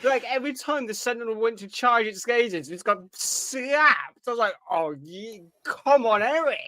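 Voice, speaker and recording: High pitched guy podcast cohost, dry sound, geeky casual chat, acts out bits, swears. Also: lively intonation, moderate pace, pushed and bright, no room sound. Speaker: podcast co-host. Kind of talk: casual fan review chat.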